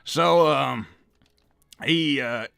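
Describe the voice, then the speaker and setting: A man's voice: mid-range voice, drawn-out words, creaky, fairly bright tone, smooth, no room sound, studio quality, heavily acted. A man voicing an old hedgehog uncle in a cartoon interview, hesitant and evasive, stammering and repeating himself as he rambles. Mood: hesitant, rambling. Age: older. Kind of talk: improvised in-character interview.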